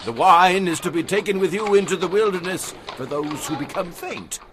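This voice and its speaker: A man's voice: high voice, moderate pace, a bit bright, a little hiss or hum. An actor playing a deferential, polite male servant eager to please in an audio Bible drama. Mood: obliging.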